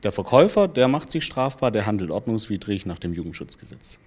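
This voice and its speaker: Low-voiced man explaining matter-of-factly. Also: very animated, a little mellow. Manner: measured, matter-of-fact. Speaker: lawyer, interviewed expert. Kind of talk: explanatory interview answers. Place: small indoor information room with bookshelves. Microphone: handheld microphone held out to him.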